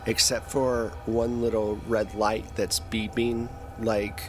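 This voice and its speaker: A man's voice: fairly low pitch, flat delivery, slow pace, faint music behind. A man narrating a sci-fi tabletop game as game master, describing scenes and asking the players what they do. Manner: descriptive, prompting players with questions.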